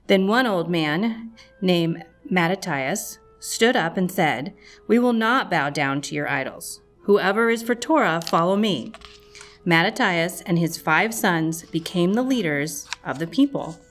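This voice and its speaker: A woman's voice: lowish voice, lively intonation, unhurried. A woman reading a scripted storybook narration for children. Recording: music playing behind the voice. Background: music.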